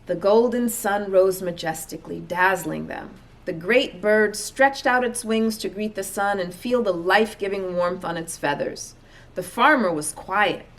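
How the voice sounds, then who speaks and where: A woman reading a children's storybook aloud as an expressive narrator. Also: mid-range voice, unhurried. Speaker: storybook reader.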